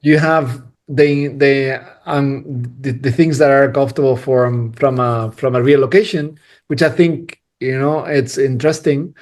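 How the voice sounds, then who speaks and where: A man's voice: medium pitch, steady tone, measured pace, darkish tone. A man from Spain, a cinematographer, talking casually in a podcast interview, rambling with many restarts and frequent laughter. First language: Spanish.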